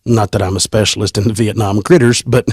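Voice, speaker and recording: Low-voiced man hosting a podcast, dry sound. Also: fast, slightly rough, dry recording. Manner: animated, teasing, reads a story aloud. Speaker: podcast host. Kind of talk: casual podcast chat and reading aloud.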